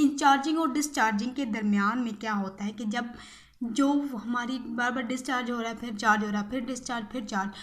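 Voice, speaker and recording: A young woman with a high voice explains material like a teacher in an informal lecture. She circles back over each step, posing questions and answering them herself. English technical terms are mixed into her speech. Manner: repetitive, asks and answers own questions. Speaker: physiotherapy teacher.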